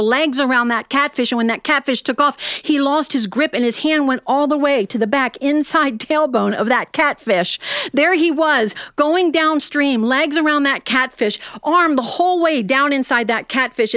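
An American woman storyteller telling a comic tall tale in an animated way, with dramatic pauses and drawn-out words. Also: high-pitched, clear, resonant tone, no room sound. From Ohio, United States. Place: studio in front of an audience.

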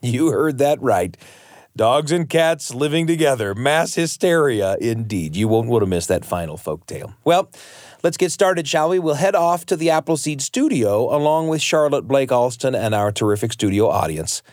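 A man's voice: mid-range voice, lively intonation, moderate pace, darkish tone, dry recording. A man hosting a radio show with scripted, playful, folksy narration addressed straight to listeners. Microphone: close studio microphone. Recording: dry studio with music underneath.

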